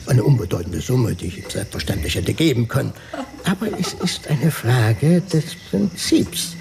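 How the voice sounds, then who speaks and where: A man's voice: medium pitch, very animated, normal speed, creaky, background noise. An actor playing a pompous, self-important, suspicious businessman who declaims in a radio drama.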